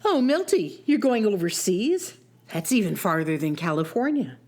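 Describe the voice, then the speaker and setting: A woman's voice: mid-range voice, very animated, normal speed, darkish tone. A woman acting as a sweet, practical young teacher in love, in a radio story. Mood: concerned, fond.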